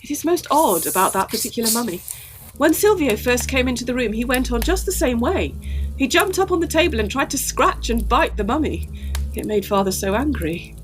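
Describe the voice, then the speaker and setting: An actress playing an earnest, emotional young gentlewoman, a devoted daughter, in a gothic audio drama. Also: on the high side, a little fast, soft background music, close to an everyday voice, poor audio. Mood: fond, earnest. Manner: earnest, expressive.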